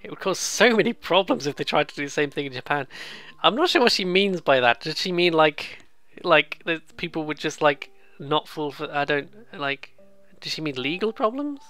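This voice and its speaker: Bright-voiced British let's player, rambling and amused. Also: medium pitch, animated, normal speed, dry recording, faint music behind. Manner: rambling, amused, frequently chuckling and swearing. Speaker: let's play host. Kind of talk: casual let's play commentary on a visual novel.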